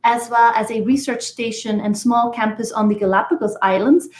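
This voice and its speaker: Measured Belgian-born woman in an echoey room. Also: on the high side, fairly even intonation, a little mellow. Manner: measured, explanatory. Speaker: guest, engineering professor. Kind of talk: podcast interview answers.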